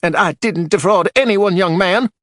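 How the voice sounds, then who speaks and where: A man's voice: normal speed, creaky, dry recording, studio quality. A man putting on a haughty gossiping widow. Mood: indignant. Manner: shrill, imperious, snappish.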